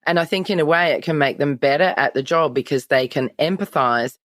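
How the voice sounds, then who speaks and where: Low-voiced, supportive woman interviewer. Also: brisk, no echo, clean studio sound. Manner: supportive, affirming. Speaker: podcast interviewer. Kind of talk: conversational interview.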